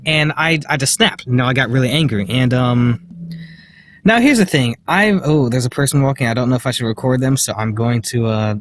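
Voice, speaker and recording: A soft-spoken young man wanders outside and talks into a phone held in his hand. His voice sits at an ordinary middle pitch. He rambles through a personal story, leaning on fillers and putting himself down with nervous little laughs. A faint hum of the outdoors runs underneath. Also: animated, brisk. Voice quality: quiet voice. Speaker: vlogger. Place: outdoors, walking in public. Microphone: handheld phone.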